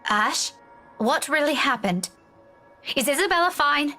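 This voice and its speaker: A young schoolteacher in a game drama, a fussy, insistent worrier with a sharp tongue. Her voice is high and bright and swings widely in pitch. Faint music sits behind it. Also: animated, a little slow, full character voice. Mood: indignant, suspicious. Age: young adult. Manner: urgent, insistent. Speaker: game voice actor. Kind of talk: dramatic visual novel dialogue.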